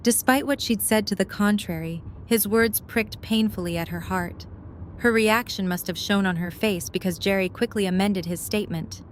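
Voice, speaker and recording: Woman narrator, mid-pitched, storytelling. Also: normal speed, a little hiss or hum. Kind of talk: scripted third-person story narration.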